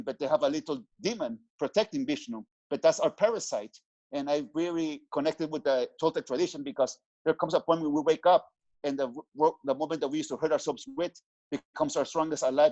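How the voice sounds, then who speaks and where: Earnest mexican-born guy on a headset video call, bit high voice, dull muffled audio, restarts a lot. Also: a little mellow. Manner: earnest, searching for words, many restarts. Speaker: guest spiritual teacher. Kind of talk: reflective interview talk. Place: small room at home.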